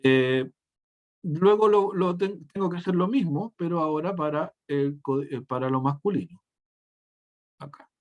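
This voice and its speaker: Higher-voiced male teacher. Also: unhurried, highs cut off. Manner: step-by-step explaining, frequent self-corrections and restarts. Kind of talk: screen-share software tutorial.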